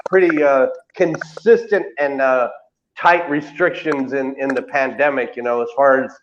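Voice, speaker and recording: A man co-hosting a casual interview over a video call, halting and filling pauses with "uh" and restarts. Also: medium pitch, fairly even intonation. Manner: halting, many uh fillers and repeats. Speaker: co-host. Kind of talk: casual chat. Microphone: video call webcam. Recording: dull lo-fi call audio, faint hiss.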